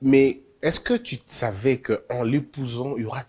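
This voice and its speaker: A male interview host who asks rapid-fire, teasing questions. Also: mid-range voice, a little mellow, breathy edge. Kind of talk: probing, joking interview questions.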